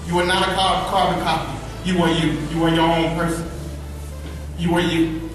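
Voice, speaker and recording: Guy in his 30s giving a pep talk to students, slight lisp, pretty high voice, heard from far off in a big echoey hall. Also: little pitch movement, normal speed, soft background music. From Birmingham, Alabama. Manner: earnest, repeats key phrases for emphasis. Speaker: motivational speaker. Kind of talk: motivational speech to students. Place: large echoey school event hall.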